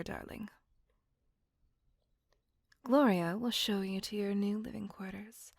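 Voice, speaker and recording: A woman voicing a kind, doting female vampire mistress in an audio roleplay, speaking in a soft, coaxing whisper close to the mic. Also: mid-range voice, gravelly, breathy edge, dry recording, exaggerated voice.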